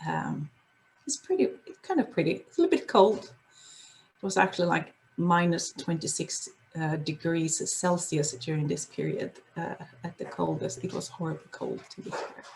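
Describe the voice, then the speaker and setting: A woman from Sweden with a Swedish accent, presenting on a video call in a casual, halting way, with many fillers and restarts. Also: lowish voice, expressive, vocal fry, a little mellow, a little hiss or hum, low-quality recording. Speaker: guest presenter. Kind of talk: casual online talk with slides.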